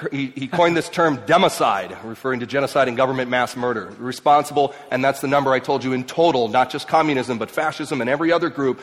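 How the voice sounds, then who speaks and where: A man raised in Mexico and Ecuador, giving an emphatic speech to an audience, swinging between loud and quiet. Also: medium pitch. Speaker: speaker. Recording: long hall reverb.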